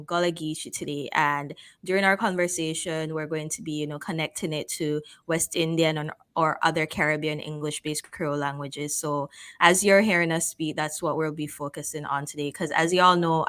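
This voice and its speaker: A woman from Guyana hosting a casual interview, chatty and quick to laugh. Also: deep voice, fairly bright tone. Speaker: host.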